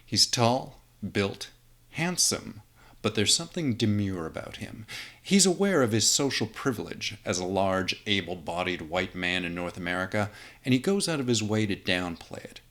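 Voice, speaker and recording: Low-voiced man narrating informally. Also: animated, normal speed, a bit bright. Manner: informal, conversational. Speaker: narrator of his own fan script. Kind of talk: scripted narration with casual asides.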